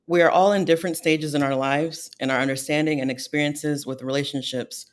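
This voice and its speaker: A woman hosting a virtual panel discussion over a video call, posing questions in a measured way with some "um"s. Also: low-pitched, fairly even intonation. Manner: measured, fills pauses with "um". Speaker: host and moderator.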